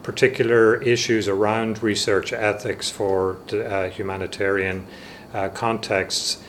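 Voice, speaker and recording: A man presenting a research project, speaking in a halting way with pauses mid-phrase. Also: lowish voice, little pitch movement, a little hiss or hum.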